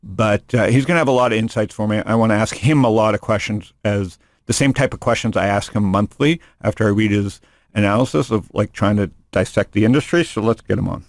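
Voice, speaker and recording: A man's voice: on the low side, a little fast, no echo, clean studio sound. A man hosting a podcast in casual chat, rambling and joking, often restarting his sentences. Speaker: podcast host. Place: studio room.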